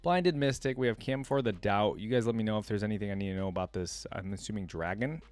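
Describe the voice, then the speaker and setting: A man's voice: lowish voice, fast, darkish tone. A man giving casual reaction commentary as a video host, thinking aloud in an informal way. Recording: music playing behind.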